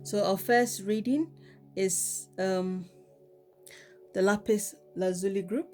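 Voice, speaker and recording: A woman with a cold giving a casual tarot card reading, talking in a relaxed way with many ums and repeated "okay?". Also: mid-range voice, slow, faint music behind. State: has a cold. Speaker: tarot reader.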